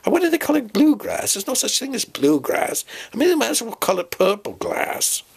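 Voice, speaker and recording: Man putting on a doddering, husky, fussy professor. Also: very high voice, huge pitch swings, voice pushed up, exaggerated voice. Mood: fussy, exasperated. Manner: halting, muddled, fussy, repeats himself. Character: doddering, fussy, forgetful male professor planning an expedition. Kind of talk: improvised comic dialogue sketch.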